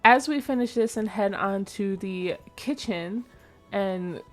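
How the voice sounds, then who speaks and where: A young woman talks through her build in a relaxed, rambling voice-over, with plenty of fillers and small asides. Her voice is mid-pitched and a touch nasal, and she sounds a bit sleepy. Faint music plays underneath. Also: slow pace. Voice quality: slightly nasal. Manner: chatty, rambling, with frequent fillers and small laughs. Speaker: Sims builder and channel host. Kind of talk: casual voice-over commentary on a speed build.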